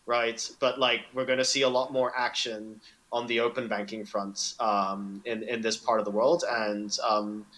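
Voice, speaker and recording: A man's voice: fairly low pitch, little pitch movement, moderate pace, fairly bright tone, rough recording. A man who grew up in Indonesia, speaking as a fintech executive answering interview questions in a loose, conversational way, tagging sentences with "right?" and "you know". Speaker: fintech product executive, guest.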